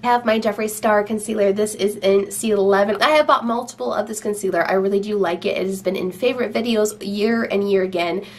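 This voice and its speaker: A woman's voice: mid-range voice, brisk, hardly any pauses, a little hiss or hum. A young woman with a slight cold, chatting casually to camera at home as she reviews her makeup products. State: has a cold. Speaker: beauty vlogger. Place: home room with shelves.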